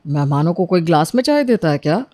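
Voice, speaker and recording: A woman's voice: on the low side, sing-song, quick pace, warm tone, no echo, studio quality, pitched below the performer's own voice. Bossy, impatient husband acted by a woman. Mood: irritated. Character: curt, demanding husband, adult man.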